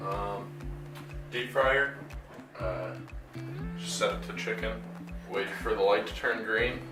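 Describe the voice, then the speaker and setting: A young man in his twenties, walking through a cooking demonstration step by step in a laid-back way, with plenty of ums and uhs. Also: lowish voice. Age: 20s. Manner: laid-back step-by-step talk with many fillers. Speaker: home cook demonstrating. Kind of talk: casual cooking tutorial. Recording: echoey room, distant mic, loud music. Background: loud guitar music.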